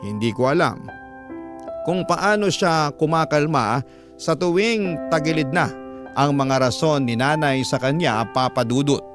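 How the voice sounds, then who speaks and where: A man's voice: a little high, a little slow, over music, natural voice. A man with a Filipino accent acting as a grown child who recounts family troubles in radio drama storytelling. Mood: reflective, troubled. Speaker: narrator of a letter-sender's story.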